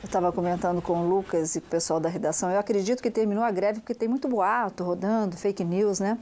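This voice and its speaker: A woman's voice: mid-range voice, quick pace, dark tone, a little hiss or hum. A woman, hosting a live TV talk show in a chatty, friendly way, recorded in a studio on a lapel mic. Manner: chatty, friendly, addresses co-hosts by nickname.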